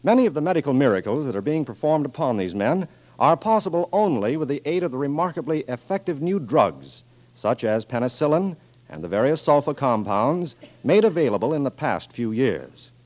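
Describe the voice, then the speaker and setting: A man's voice: medium pitch, big pitch swings, a little fast, darkish tone. A male radio announcer reading sponsor copy and closing credits in a formal, polished manner. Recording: dull old radio broadcast with music.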